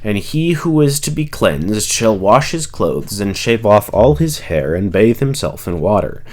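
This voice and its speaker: A man's voice: fairly low pitch, sing-song, a little slow. A man reading scripture aloud as a reader of a long text.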